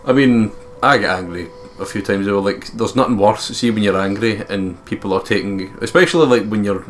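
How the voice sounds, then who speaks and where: A man's voice: fairly low pitch, a little fast, slight background noise. A man with a Scottish accent, reading game narration and chatting as a let's play co-host. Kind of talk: reading game narration aloud and casual commentary.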